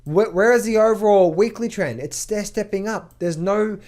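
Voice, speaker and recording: Male trading coach, slightly high voice, emphatic chart commentary full of rhetorical questions and repeated words. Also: darkish tone. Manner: emphatic, fires rhetorical questions and answers them; repeats words in quick bursts. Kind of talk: market update over charts.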